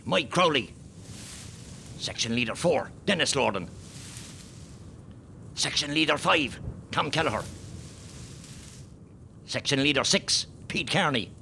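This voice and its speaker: Rebel column commander, radio drama, authoritative, tense edge, gravelly, faint rumble. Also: male voice, pushed and bright, heavily acted. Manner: deliberate, authoritative roll-call delivery. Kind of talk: radio play acting.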